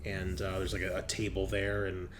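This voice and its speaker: A man improvising narration as game master of a horror tabletop game, halting with drawn-out pauses as he describes scenes. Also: deep voice, monotone, slight background noise.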